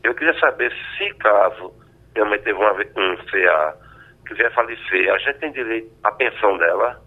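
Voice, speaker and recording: A man calling in to a radio show by phone, explaining his family situation in a hesitant, halting way. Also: on the low side, expressive. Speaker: radio caller. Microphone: phone held away from mouth. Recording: telephone line, faint from the mic.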